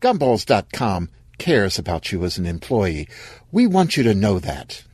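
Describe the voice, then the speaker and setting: A man voicing a chirpy, smugly corporate woman from human resources in a story, bright, singsong and condescending. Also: fairly low pitch, very animated, a little slow, husky, dry recording, clean studio sound, full character voice. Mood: bright, patronizing.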